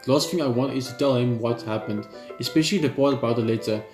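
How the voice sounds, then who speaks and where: A man's voice: mid-range voice, fast. A man reading a visual novel's text aloud in a let's play, stumbling and rereading now and then. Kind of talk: let's play read-aloud narration with asides. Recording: roomy echo with game music behind. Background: video game music.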